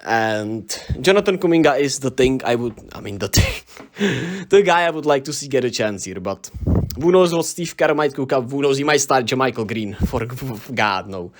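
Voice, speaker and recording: Czech-accented man, channel host, relaxed solo sports chat, mid-pitched voice, fillers and "right?" tags. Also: moderate pace, a little hiss or hum. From Czech Republic. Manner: chatty, laughs often, many fillers and tag questions. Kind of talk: casual solo basketball commentary.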